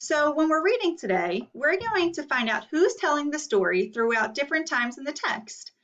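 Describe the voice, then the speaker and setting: A woman's voice: high voice, sing-song, normal speed, fairly bright tone. A woman teaching a read-aloud lesson for children, explaining instructionally and posing guiding questions. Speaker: elementary teacher. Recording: dull, distant microphone.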